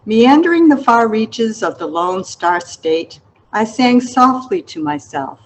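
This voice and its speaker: A woman author reading her literary memoir aloud in a measured, reflective manner. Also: fairly high pitch, animated, slight background noise, low-quality recording. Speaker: author reading an excerpt of own book.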